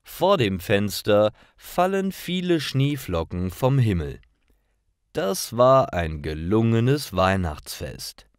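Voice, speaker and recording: A man with a low voice reads learner stories as a narrator. He takes his time, enunciating each phrase, and his pitch moves expressively up and down. The recording is dry. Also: sing-song, takes it slowly, clean tone, dry recording. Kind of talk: scripted storybook narration for learners.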